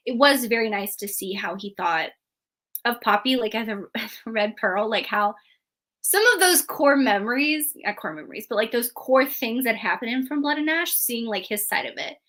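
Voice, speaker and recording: A woman co-hosting a book discussion, chatting casually in an animated, emphatic, informal way. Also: mid-range voice, moderate pace. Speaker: book review show co-host. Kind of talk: casual chat about books.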